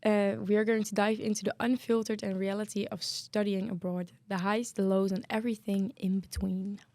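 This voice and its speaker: A woman's voice: mid-range voice, little pitch movement, normal speed, darkish tone, dry recording. Young woman hosting a podcast. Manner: chatty, trips over words now and then. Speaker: podcast host.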